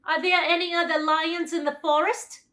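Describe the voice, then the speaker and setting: A woman's voice: very high voice, little pitch movement, normal speed, bright, forceful tone, pitched above the performer's own voice. A woman voicing a big, confident, cheerful male lion in a children's storybook, speaking emphatically. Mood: confident, questioning.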